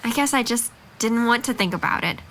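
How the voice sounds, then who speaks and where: A woman's voice: fairly high pitch, animated, fairly quick, a bit bright, a little hiss or hum. A young woman playing a candid, level-headed girlfriend in a breakup drama, direct and composed. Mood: frank, resigned. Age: young adult. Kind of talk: naturalistic dramatic dialogue.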